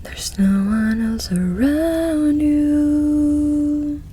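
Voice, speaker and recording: A woman performs songs as ASMR in a breathy whisper that slips into soft singing. Her tone is mellow and fairly high, and she takes the words very slowly. The delivery is hushed and intimate. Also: steady tone, slight background noise.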